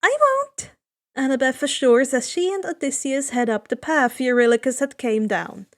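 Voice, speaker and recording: A woman's voice: sits high, moderate pace. A woman reading fan fiction aloud as host and story narrator.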